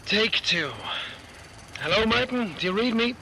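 A man's voice: high-pitched, expressive, a little slow, pushed and bright, full character voice. A piercing male boss on radio. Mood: businesslike. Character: male building-site boss calling on two-way radio. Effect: two-way radio. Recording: noisy walkie-talkie with site ambience.

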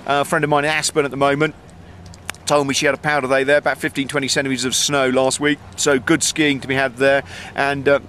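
A man's voice: medium pitch, a bit bright, a little hiss or hum. A middle-aged man giving a casual snow report to a camera held at arm's length, outdoors on a mountain, talking in a chatty way with many ums and dry asides. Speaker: ski reporter.

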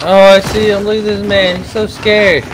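Young guy gaming on a headset, hyped and cussing, loud game music going. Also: sits high, moderate pace, low-quality recording. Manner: excitable, swearing, trash talk. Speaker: gamer. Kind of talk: casual gaming banter.

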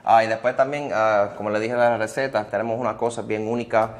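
Low-voiced restaurant owner. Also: male voice, quick pace. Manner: relaxed, many "ah" fillers, mixes in English words. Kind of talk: interview answers. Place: indoors at a restaurant bar.

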